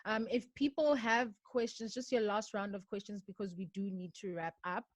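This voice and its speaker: Measured young woman hosting. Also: medium pitch, fairly even intonation, no room sound. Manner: measured, asks long questions, keeps time. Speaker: interview host. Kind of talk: moderated online discussion.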